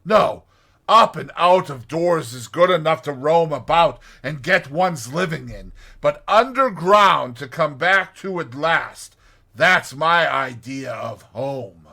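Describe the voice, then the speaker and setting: A man's voice: fairly high pitch, animated, takes it slowly, vocal fry, sharp tone, full character voice. A man voicing a kindly, opinionated badger in a dramatized storybook reading, with an emphatic, declaiming manner. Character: hearty, kindly, opinionated badger, proud of his burrow.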